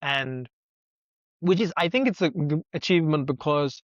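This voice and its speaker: A man's voice: a little high, normal speed, no room sound. Earnest young man, native Sinhala speaker. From Sri Lanka. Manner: earnest and reflective, thinks aloud with rhetorical questions. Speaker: guest. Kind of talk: conversational interview. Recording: dull, dry lo-fi sound.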